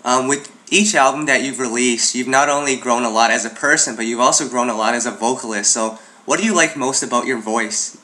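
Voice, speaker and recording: A man's voice: medium pitch, fairly quick, few pauses, pushed and bright. A man interviewing a singer, asking questions in a friendly, affirming way with fillers.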